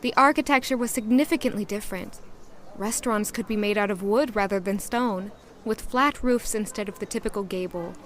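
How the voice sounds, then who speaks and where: A woman's voice: fairly high pitch, slight background noise, lightly acted. A woman playing an earnest young maid narrating. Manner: earnest, introspective inner voice. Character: young female castle maid, hopeful and self-conscious. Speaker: narrator and lead character. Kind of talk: first-person audio drama narration.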